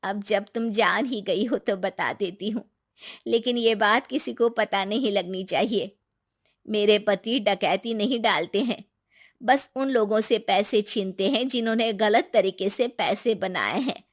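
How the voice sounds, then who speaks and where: A woman's voice: medium pitch, measured pace. A woman playing a secretive, reassuring village woman of firm faith in a cartoon moral story, emphatic and coaxing. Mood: confiding. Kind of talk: Hindi animated moral story acting.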